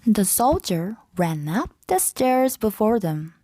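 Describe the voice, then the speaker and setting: A woman narrating a children's fairy tale aloud from a script, with deliberate, clearly phrased delivery. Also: mid-range voice, big pitch swings, mellow, dry recording. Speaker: storybook narrator.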